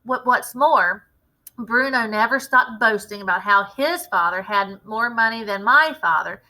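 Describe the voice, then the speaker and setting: A woman reading a children's story aloud in expressive, dramatic, clearly phrased narration. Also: fairly high pitch, measured pace, rough recording. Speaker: read-aloud teacher.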